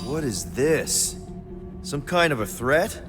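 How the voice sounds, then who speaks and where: An actor playing an easygoing, boyish space crew leader in a video game, casual, slangy and expressive. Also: male voice, medium pitch, slow, in a large hall, over music. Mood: worried. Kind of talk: video game character acting.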